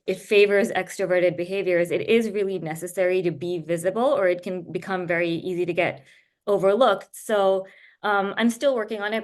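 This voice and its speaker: A woman from Japan speaking as a guest on a video call, explaining things in a thoughtful, measured way. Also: mid-range voice. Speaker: guest speaker, writer and editor. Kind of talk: explanatory talk in an online interview.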